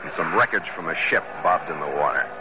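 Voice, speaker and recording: An actor playing a tough, principled American sea captain who also narrates a radio adventure, in a terse hard-boiled style. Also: male voice, deep voice, expressive, fast talker. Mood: grim narration. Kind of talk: old-time radio adventure drama acting and narration. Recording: noisy, muffled old radio recording.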